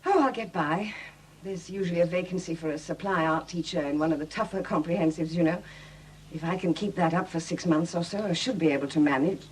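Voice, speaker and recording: An actress playing a proud, bitter, self-reliant young widow with a husky voice and a brittle, wry manner in a 1970s radio drama. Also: female voice, fairly low pitch, fairly quick, darkish tone, slight background noise, natural voice, rough recording. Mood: flustered, brisk.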